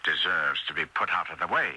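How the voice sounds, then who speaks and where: An actor playing an urbane, condescending detective with formal, theatrical delivery in an old-time radio mystery. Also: male voice, a bass voice, expressive, fairly quick, sharp tone, husky, full character voice, low-quality recording. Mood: suavely reassuring. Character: urbane, condescending gentleman detective with secretive airs. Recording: old radio broadcast sound.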